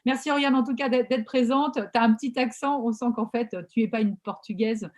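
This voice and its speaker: A woman hosting an interview over a video call, chatty and energetic and using lots of fillers. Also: a little high, a little fast. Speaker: podcast host and coach.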